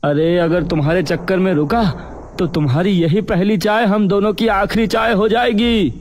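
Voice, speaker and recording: A man playing an alarmed, scolding village tea-shop keeper in an animated story. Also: high-pitched, moderate pace, a little hiss or hum, close to an everyday voice. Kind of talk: acted animated story dialogue.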